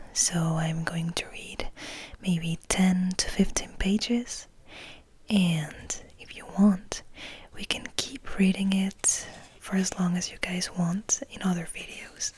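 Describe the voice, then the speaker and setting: A woman whispering softly and slowly as an ASMR host, in a hushed manner with gentle pauses. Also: lowish voice, fairly even intonation, breathy edge. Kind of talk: whispered, soft-spoken ASMR. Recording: noticeable background noise.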